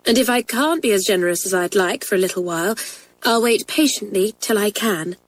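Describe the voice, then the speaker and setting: A woman's voice: medium pitch, fairly quick, close to an everyday voice, low-quality recording. A woman voicing a proud but remorseful schoolgirl who speaks in a sincere, subdued way in a storybook reading.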